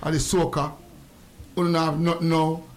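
A man's voice: fairly high pitch, little pitch movement, moderate pace. A man joking and boasting in playful banter. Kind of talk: comic banter.

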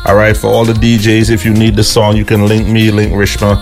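A man, a singer guest, talking in a relaxed casual way with shout-outs and many 'you know's. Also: on the low side, a little fast, soft background music. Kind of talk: casual interview.

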